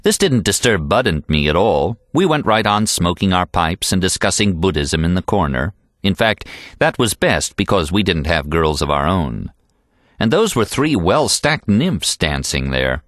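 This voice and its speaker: A man's voice: low voice, sing-song, moderate pace, a bit bright, dry recording, clean studio sound. An American man reading a literary novel aloud as an audiobook narrator.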